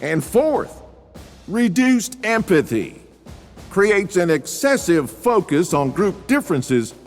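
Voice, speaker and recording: A barking drill sergeant, as a man puts him on, pitched fairly high and swinging widely as he hammers out each point slowly. His commands ring through a long echoey hall with music and a crowd behind. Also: sing-song, full character voice. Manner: commanding, shouted lecture points. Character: barking male drill sergeant lecturing recruits.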